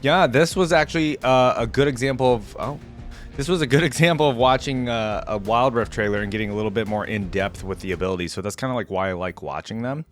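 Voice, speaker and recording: A man's voice: mid-range voice, quick pace, soft background music. A man hosting a reaction video with casual commentary, speaking informally and enthusiastically with frequent asides. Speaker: YouTube reaction host.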